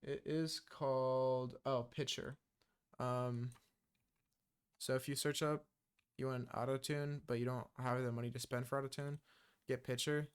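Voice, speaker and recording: Male tutor, clear and resonant voice, mid pitch, easygoing screen-tutorial delivery full of filler words. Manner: loose and chatty, many ums and uhs. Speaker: music production tutor. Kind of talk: casual screen-recorded software tutorial.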